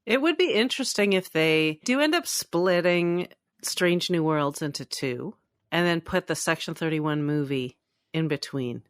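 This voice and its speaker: A woman's voice: sits low, lively intonation, unhurried, no room sound, studio quality. A woman co-hosting a podcast in casual conversation. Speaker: podcast co-host. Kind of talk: casual chat.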